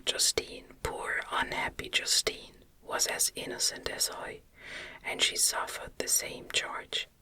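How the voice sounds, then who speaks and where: A woman voicing an anguished, guilt-ridden young man in an impassioned breathy whisper, in a storybook reading. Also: slow pace, bright, forceful tone.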